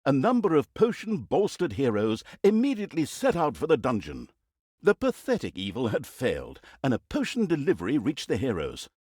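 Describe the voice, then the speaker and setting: An actor playing a theatrical, mock-pompous narrator of villainous deeds in a comic video game, with a sardonic, mocking manner. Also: male voice, medium pitch, huge pitch swings, moderate pace, a little mellow, husky, dry recording, clean studio sound. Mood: mock-grand storytelling.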